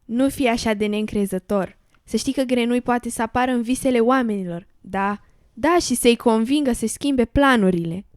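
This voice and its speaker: Lady doing a little girl frog for a radio play, kinda high, reassuring, dry close sound. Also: a little mellow, no room sound, close to an everyday voice. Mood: coaxing. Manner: coaxing, reassuring. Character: encouraging female little frog, hopeful believer in magic. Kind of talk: educational radio drama acting.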